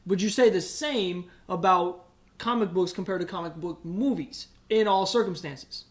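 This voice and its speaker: A man's voice: high-pitched, normal speed. A man co-hosting a podcast, debating casually in an opinionated, probing way. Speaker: podcast co-host.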